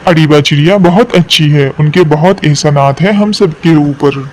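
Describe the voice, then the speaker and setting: A man's voice: fairly high pitch, moderate pace, a little hiss or hum, lightly acted. A man voicing a helpful, eager pigeon with an earnest, urgent manner in a children's cartoon.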